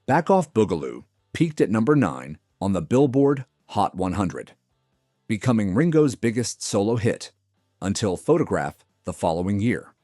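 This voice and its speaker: A man's voice: fairly low pitch, big pitch swings, normal speed, warm tone, dry recording. A man reading a scripted music countdown as its narrator.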